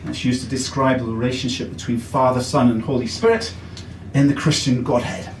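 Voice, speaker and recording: A man's voice: medium pitch, steady tone, a little fast, distant mic, a little hiss or hum. English man doing stand-up in an echoey basement. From England. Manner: chatty and self-deprecating, with frequent uh fillers. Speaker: stand-up comedian. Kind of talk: stand-up comedy set. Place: basement comedy club.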